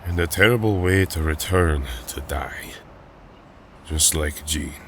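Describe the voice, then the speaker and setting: A man playing a noble, gentle young strongman hero in an audio drama, with a Russian accent and a formal, earnest manner. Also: rumbling low, slow pace, a little hiss or hum. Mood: sorrowful, doubtful.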